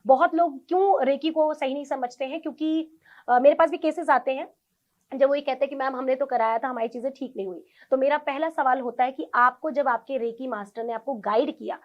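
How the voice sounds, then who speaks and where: A woman with a high-pitched voice gives explanations as an interview guest. She is earnest and instructive, dropping English words into her speech. The sound is somewhat dull and lo-fi. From India. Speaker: interview guest, tarot reader and Reiki practitioner; government school teacher.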